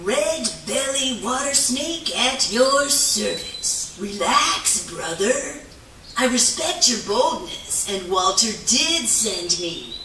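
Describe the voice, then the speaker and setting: A laid-back, bold water snake played by a puppeteer for a children's show. The speech is very slow and slangy, bright and swinging in pitch, picked up from a distance in a roomy space. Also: expressive. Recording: roomy distant mic, faint hiss.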